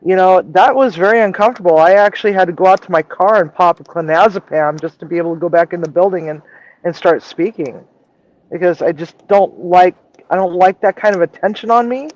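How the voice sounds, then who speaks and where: A woman with a low voice, a guest chef talking frankly and candidly in a casual livestream chat over a remote call. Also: a little fast, a little mellow, lo-fi sound.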